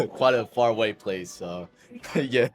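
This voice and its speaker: A man's voice: medium pitch, slow, soft background music. A young man from Spain whose first language is Spanish, talking casually as an international student, with frequent fillers. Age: young adult. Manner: relaxed, uses lots of "like" fillers.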